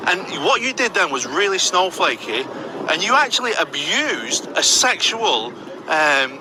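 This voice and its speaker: A man with a Lancashire accent fires provocative, mocking questions in a high, lively voice. He films with a handheld camera on a city street, and traffic noise sounds clearly behind him. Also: measured pace. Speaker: auditor filming police.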